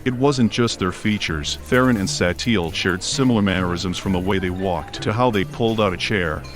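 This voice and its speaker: A man's voice: low-pitched, normal speed. A man reading scripted fiction as an audiobook narrator, telling the story in prose. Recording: faint music behind narration. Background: faint music.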